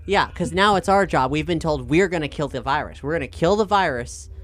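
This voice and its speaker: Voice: medium pitch, lively intonation, brisk, a bit bright, natural voice. A player voicing a plain-spoken, resigned captive companion in improvised tabletop roleplay, matter-of-fact and brief.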